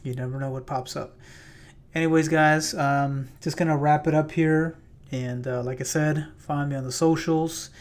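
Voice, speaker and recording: A man hosting his own podcast in a loose, chatty way with many fillers, his voice a little raspy. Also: on the high side, fairly even intonation, normal speed. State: slightly raspy voice. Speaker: podcast host and realtor. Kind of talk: casual solo podcast talk. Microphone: plugged-in microphone.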